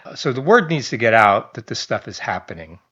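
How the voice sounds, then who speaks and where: A man talking through a news story for his online channel. His voice sits at an ordinary middle pitch, and he mixes reading with loose, chatty asides, stumbling restarts and small chuckles. The audio sounds a little dull and lo-fi. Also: sing-song, a little fast, sharp tone, breathy edge. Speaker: online commentator. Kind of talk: reading a news article aloud with casual commentary.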